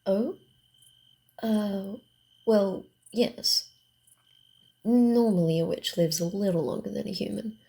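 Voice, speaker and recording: A woman voicing a sly, guarded, secretive female vampire in a hushed, theatrical and deliberate ASMR roleplay style. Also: medium pitch, steady tone, gravelly. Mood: sly, ominous.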